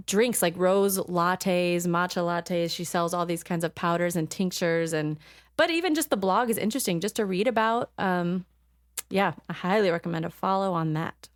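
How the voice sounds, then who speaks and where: Chatty woman podcast host, low voice. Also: no room sound, clean studio sound. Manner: chatty, halting, many ums while searching notes. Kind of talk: casual chat.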